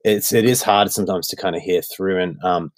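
A man with an Australian accent chatting on a podcast, his voice a touch low and recorded close and dry. He tells stories in a relaxed, meandering way, with plenty of ums and likes. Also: brisk, no echo. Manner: loose storytelling with many fillers. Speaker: worship songwriter guest. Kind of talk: casual podcast chat.

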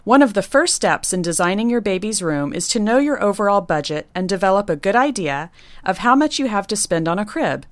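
A woman who designs nurseries, reading a prepared segment of tips in a clear, instructive way. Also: medium pitch, lively intonation, a little fast, no echo. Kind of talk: scripted segment read.

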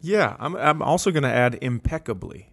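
A man's voice: medium pitch, expressive, moderate pace, gravelly, fairly bright tone. A middle-aged man hosting a comedy podcast in casual chat, telling stories with an amused, ready-to-laugh manner.

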